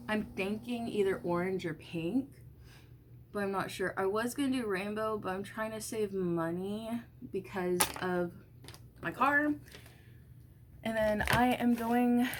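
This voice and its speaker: A woman's voice: medium pitch, background noise. A young woman in her early twenties with a stuffy, congested voice, chatting casually while doing her makeup at home, rambling with lots of fillers and the odd swear. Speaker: vlogger. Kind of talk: casual get-ready-with-me chat.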